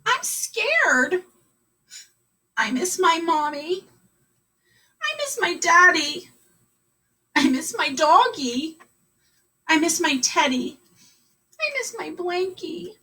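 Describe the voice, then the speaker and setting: A woman's voice: very high voice, very animated, takes it slowly, pauses often, pushed and bright, far from the mic, voice pushed up, heavily acted. A woman voicing scared little kindergarten children who whimper that they miss home. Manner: small whimpering complaints.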